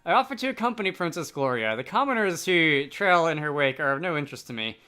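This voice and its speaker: Man acting haughty prince, game character, curt, scornful, formal, bright edged voice. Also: fairly high pitch, very animated, fast talker, smooth.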